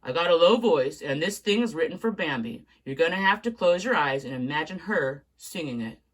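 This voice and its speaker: Lady voicing a gruff older studio guy, blunt and quick to snap, storybook read-aloud, voice swings around a lot. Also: sits low, lively intonation, poor audio. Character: older male studio boss, brash and hot-tempered.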